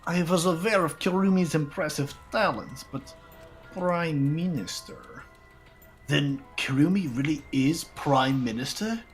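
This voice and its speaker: A performer playing an incredulous, questioning high school student in an amateur anime game fandub, earnest in delivery. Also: measured pace, faint music behind, natural voice. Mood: puzzled.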